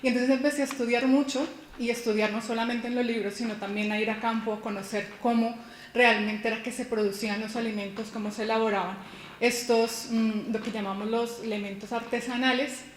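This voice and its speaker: Woman from Colombia, fairly high voice, keynote talk, echoey hall, faint background noise. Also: steady tone, a little fast. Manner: personal, explanatory, with restarts and rhetorical questions. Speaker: university lecturer giving a keynote. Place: conference hall.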